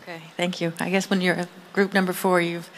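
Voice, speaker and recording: A woman's voice: lowish voice, flat delivery, fairly quick. A woman giving an informal spoken readout for her group at a meeting. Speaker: roundtable participant reporting back. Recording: faint background room noise.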